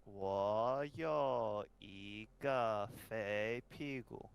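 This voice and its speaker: Slow-talking American man, teasing. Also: fairly low pitch, clear, resonant tone. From United States. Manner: patient, teasing, spells words out slowly. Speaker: gamer in voice chat.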